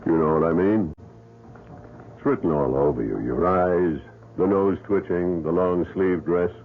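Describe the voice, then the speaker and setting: A man's voice: low voice, a little slow. An actor playing a skeptical male police lieutenant who talks in a blunt, dry, doubting way, in a radio drama. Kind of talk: 1970s radio drama acting. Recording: tinny old radio broadcast with faint noise.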